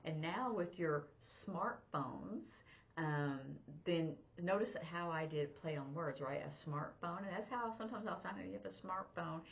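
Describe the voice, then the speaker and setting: A woman's voice: on the low side, expressive, unhurried, creaky, muffled recording. A woman giving an informal spoken sign language lesson, rambling conversationally as she explains things through examples. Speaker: sign language teacher and interpreter.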